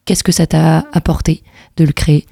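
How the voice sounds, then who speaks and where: Radio host: woman, mellow mid-pitched voice, dry studio mic, easygoing questions. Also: moderate pace, vocal fry, slightly rough, no echo. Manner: relaxed, gently searching questions with fillers. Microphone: radio studio microphone.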